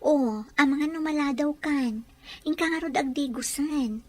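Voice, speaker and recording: A doting young bride-to-be, as a woman plays her, with an Ilocano accent. Her voice sits a little high. She fusses over her man in a sweet, playful way. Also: a little mellow. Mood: affectionate. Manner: sweet, fussing, quick and playful.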